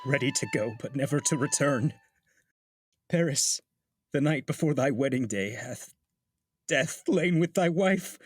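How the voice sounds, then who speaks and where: A man's voice: mid-range voice, slightly rough, no echo. A man playing a fussing older father and master of the house in a classical Shakespeare play, commanding and theatrical. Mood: stricken grief. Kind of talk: classical Shakespearean stage acting.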